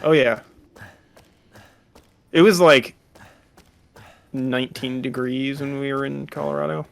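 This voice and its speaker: A man's voice: mid-range voice, fairly even intonation, slow, vocal fry. A man in his thirties from California, chatting casually about a game in an easygoing way, full of fillers. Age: 30s. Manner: easygoing, filler-heavy, chuckles often. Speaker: co-host watching a game. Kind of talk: casual chat while gaming.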